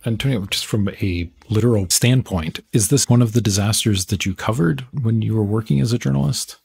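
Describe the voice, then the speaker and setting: A man hosting a thoughtful literary interview in a measured, articulate way. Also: lowish voice, gravelly, darkish tone, breathy edge. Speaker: podcast host, interviewer.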